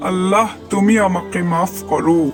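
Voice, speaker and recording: A man's voice: sits high, steady tone, moderate pace, music in the background, close to an everyday voice. A man voicing a remorseful village cheat in a cartoon, pleading dramatically and penitently. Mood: anguished remorse. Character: remorseful village cheat begging God's forgiveness. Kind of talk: moral cartoon voice acting.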